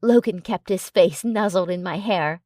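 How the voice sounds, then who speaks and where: A woman's voice: lowish voice, normal speed, mellow, dry recording, clean studio sound. A woman reading scripted first-person fiction as an audiobook narrator.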